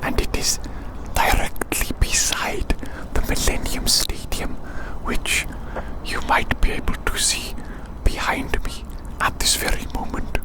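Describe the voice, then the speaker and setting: An ASMR creator whispering soothingly outdoors on a city walk, slowly repeating short phrases. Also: poor audio. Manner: soothing, slow repetition of phrases.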